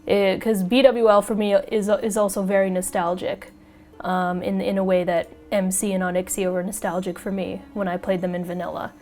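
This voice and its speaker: A woman hosting a casual podcast chat, a little raspy as she gets over a cold, chatty and excitable and quick to laugh. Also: medium pitch, faint music behind. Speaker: podcast host.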